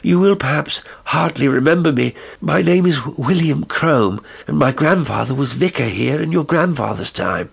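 A man voicing a polite young gentleman in a hurry who speaks in a formal, deferential way. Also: on the high side, lively intonation, normal speed, vocal fry, hardly any pauses, breathy edge, pitched above the performer's own voice, full character voice.

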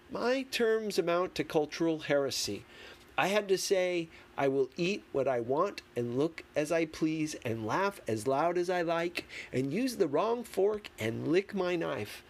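A man reading a memoir as an audiobook, in a deliberate, emphatic manner with dramatic pauses. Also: a little high. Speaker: audiobook reader. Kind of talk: expressive scripted narration of a memoir. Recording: clearly noisy background.

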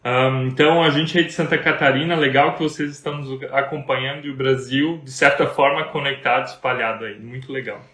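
A man's voice: mid-range voice, steady tone, a little fast, few pauses, bright, forceful tone. Earnest Brazilian man in an echoey room. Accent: Brazilian Portuguese. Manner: earnest, preacherly, asks many questions. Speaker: marriage talk co-host.

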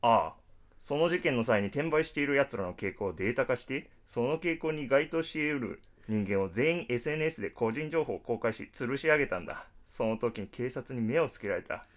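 A man acts out an eccentric male data scientist for a radio drama. He is analytical and bossy, with a mid-pitched voice. He lectures in a pompous way, with the fervor of an obsessive fan. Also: lively intonation, fairly quick, natural voice. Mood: fervent. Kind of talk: Japanese radio drama acting.

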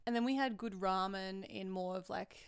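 A young Australian woman with a loud voice, chatting casually about travel as a podcast co-host and saying "like" often. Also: medium pitch, normal speed, smooth, no room sound, studio quality.

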